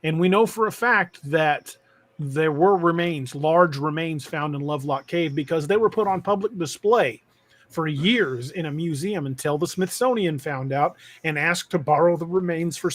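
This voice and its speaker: A man hosting a casual livestream talk, chatting and reading out comments from viewers. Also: a little high. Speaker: podcast host.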